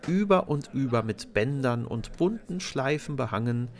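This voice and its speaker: A man's voice: mid-range voice, animated, normal speed. A man narrating a scripted children's story as a storyteller.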